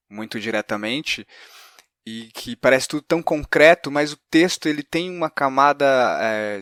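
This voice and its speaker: A man's voice: medium pitch, a bit bright, no echo, studio quality. A man with a Brazilian accent hosting a conversational interview, reflective and feeling his way through long remarks. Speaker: podcast host, interviewer.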